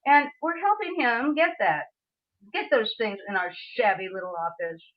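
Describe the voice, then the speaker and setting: Lady reading kindly earnest old dad in a radio play, highish voice, muffled lo-fi call audio. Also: big pitch swings, normal speed. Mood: earnest, resigned. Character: gentle, earnest older father, small-town businessman. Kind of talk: community theatre radio play acting. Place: remote video call. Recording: dull lo-fi video call audio.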